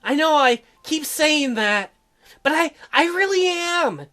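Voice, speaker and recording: Man putting on a high, earnest teenage girl. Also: lively intonation, takes it slowly, bright, forceful tone, faint music behind, full character voice. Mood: pleading, apologetic. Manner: pleading, high-pitched, earnest.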